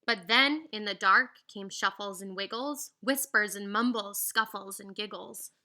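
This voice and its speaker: Woman, children's read-aloud, slow pace, piercingly bright tone, animated singsong storytelling. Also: medium pitch. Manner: animated, singsong rhyming delivery with teacherly asides. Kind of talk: expressive children's picture book read-aloud.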